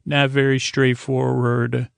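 A man with a creaky voice hosting a sleep podcast, talking in a meandering, halting, gently goofy and lighthearted way. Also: medium pitch, level tone, dry recording. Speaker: podcast host. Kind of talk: rambling, informal sleep podcast monologue.

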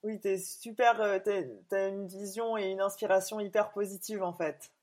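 A woman's voice: mid-range voice, steady tone, moderate pace, darkish tone. A woman hosting a podcast interview, asking long questions full of hesitations and fillers. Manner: hesitant, many fillers, friendly laughs. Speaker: podcast host.

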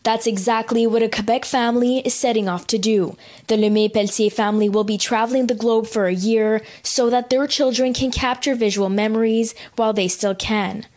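A woman with a fairly high voice reads a news report in a smooth, measured way. Her delivery is scripted and even.

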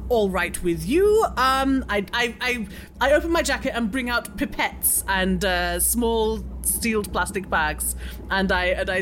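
A woman playing a curious, sceptical scientist, halting yet precise and formal, in an improvised role-play. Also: medium pitch, fairly bright tone, slight background noise. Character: brisk, curious, sceptical female scientist investigator.

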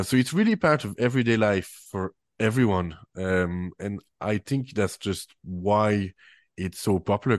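A man's voice: on the low side, dry recording. A man from French-speaking Switzerland with a French Swiss accent, a musician chatting in an interview, full of fillers and restarts. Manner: hesitant, many fillers and restarts. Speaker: musician and guest. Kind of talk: relaxed interview chat.

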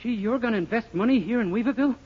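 A man's voice: very high voice, racing, husky. An actor playing a naive, well-meaning, bumbling young man in an earnest, guileless way, in a 1940s radio comedy. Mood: innocent, polite. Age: young adult. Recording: muffled, noisy 1940s radio recording.

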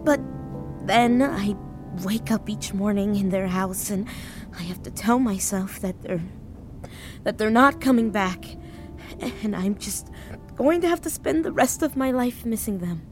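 A woman acting a grieving, anxious, self-deprecating woman in her thirties in an audio drama, speaking haltingly. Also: mid-range voice, animated, close to an everyday voice. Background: music.

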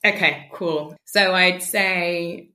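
A woman's voice: lowish voice, fairly even intonation, bright, forceful tone. A woman in her late thirties from rural mid Wales, chatting casually and informally as a podcast guest. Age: late 30s. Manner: chatty, informal, quick to laugh. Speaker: interview guest, charity founder.

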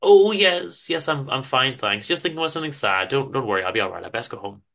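A bright, forward voice for a mild, wistful storybook husband, acted by a man. He stammers and hesitates, chatting on in a mopey but gentle way. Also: medium pitch, animated, fast, creaky. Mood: flustered, downcast. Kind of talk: amateur dramatic story reading.

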